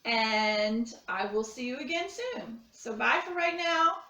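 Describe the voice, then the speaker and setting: Higher-voiced woman teaching kids, echoey room. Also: expressive, takes it slowly, pushed and bright, highs cut off. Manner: simple, step-by-step explaining with repeated phrases. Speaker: art teacher presenting a children's craft lesson. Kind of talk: instructional demonstration for children. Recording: echoey room, distant microphone.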